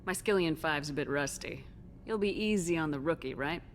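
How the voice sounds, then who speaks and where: An actress playing a tough, composed military commander in a space video game, terse, dry and in command. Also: female voice, low-pitched, animated, normal speed, slight background noise. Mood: relaxed, wry. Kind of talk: video game cutscene acting.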